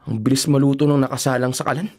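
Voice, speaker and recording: A man's voice: medium pitch, fairly even intonation, fast, darkish tone, close to an everyday voice. A man playing a wry, gossipy brother in a radio drama, with a teasing, sardonic manner.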